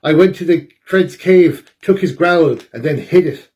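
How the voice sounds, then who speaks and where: A man's voice: a little high, measured pace, darkish tone, voice pushed up, close to an everyday voice. A man voicing a lonely, guilty storybook bear with a pleading, confessional manner. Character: sneaky lonely male bear, tearfully confessing and apologetic.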